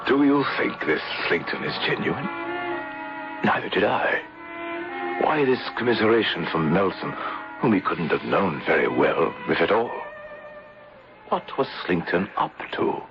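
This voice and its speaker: An actor playing a shrewd, reserved English insurance manager in a radio drama, speaking in a measured, formal, dry way. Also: male voice, deep voice, sing-song, over music, exaggerated voice. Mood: suspicious. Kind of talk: radio drama acting and narration. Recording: dull, lo-fi 1970s radio recording.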